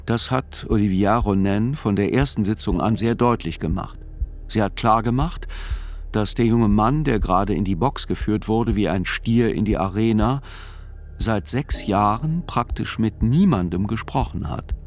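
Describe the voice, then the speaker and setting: A man giving scripted first-person literary narration in a radio play, measured and reflective. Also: lowish voice.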